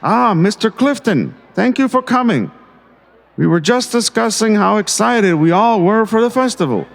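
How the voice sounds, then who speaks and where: A man's voice: sits high, lively intonation, normal speed, slight background noise. A man playing a pompous, condescending small-town mayor in an audio drama. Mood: gushing. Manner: smooth-talking, condescending. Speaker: voice actor.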